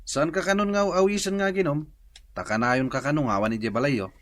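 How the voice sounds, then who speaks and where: A man's voice: on the high side, a little fast, a bit bright, close to an everyday voice. A man with an Ilocano accent playing an easygoing, chatty drinking buddy who needles his friend in a comedy radio drama.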